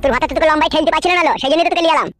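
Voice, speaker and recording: Man voicing a fast, dismissive cartoon village husband. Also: extremely high pitch, steady tone, over loud music. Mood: threatening. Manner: dismissive, threatening. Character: gruff, defensive, foul-mouthed village husband. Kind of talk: comedy cartoon dialogue.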